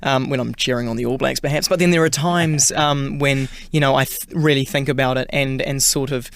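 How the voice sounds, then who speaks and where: A man's voice: medium pitch, steady tone, a little fast, creaky, a bit bright, smooth, no echo. Kiwi guy chatting on radio, lots of ums, dead dry sound. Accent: New Zealand. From New Zealand. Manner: chatty, many fillers and restarts. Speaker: singer, interview guest. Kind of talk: casual radio interview.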